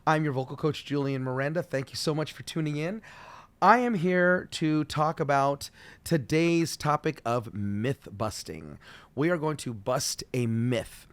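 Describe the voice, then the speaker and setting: A middle-aged man teaching as a vocal coach, friendly and explaining slowly with demonstrations. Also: a little high, a bit bright, smooth, no echo. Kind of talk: solo video voice lesson.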